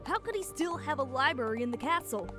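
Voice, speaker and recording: A woman's voice: sits high, expressive, fast talker. A woman playing a bold, skeptical, adventurous pony in a cartoon comic dub. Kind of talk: fan comic dub acting. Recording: faint music behind.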